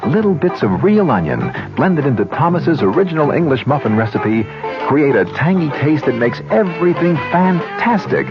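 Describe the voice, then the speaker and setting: A man announcing a scripted 1970s radio commercial. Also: a little high. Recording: dull old radio broadcast with music. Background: music.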